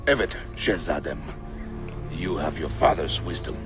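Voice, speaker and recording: A man's voice: a bass voice, a little slow, over music. An actor playing a proud, blunt Ottoman military captain in a video game. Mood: stiffly deferential. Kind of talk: video game cutscene acting.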